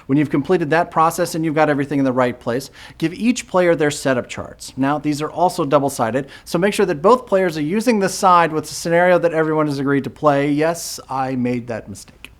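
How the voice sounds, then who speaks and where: A man's voice: a little high, a little fast, hardly any pauses. Upbeat man hosting a board game tutorial. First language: English. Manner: upbeat, jokey, self-deprecating asides. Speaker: board game channel host. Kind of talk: scripted how-to-play tutorial.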